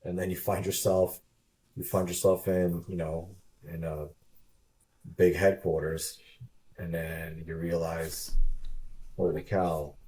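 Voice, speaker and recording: A man in his fifties with a very low, mellow voice, speaking as an interview guest over a video call. He talks in a relaxed, casual way and often repeats a word before the thought comes. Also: slow, long pauses, low-quality recording. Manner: relaxed, repeats words while thinking. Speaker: interview guest, retired Army officer.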